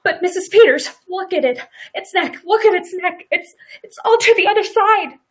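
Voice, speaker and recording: A woman's voice: extremely high pitch, normal speed. A woman playing a rueful, blunt farmer's wife with folksy rural speech in a live radio play. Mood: shocked. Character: farmer's wife Mrs. Hale, regretful, blunt, protective neighbour.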